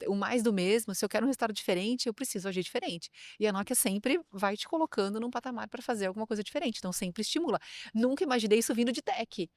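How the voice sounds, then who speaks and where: A woman speaking as an HR director guest on a podcast, in a lively, chatty way and quick to agree. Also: a little high, no room sound, studio quality. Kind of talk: casual interview conversation.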